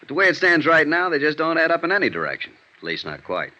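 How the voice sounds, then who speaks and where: An American actor playing a gruff, matter-of-fact police detective sergeant in a vintage radio drama, reporting in terse deadpan. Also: male voice, fairly high pitch, quick pace, exaggerated voice. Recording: muffled vintage radio recording.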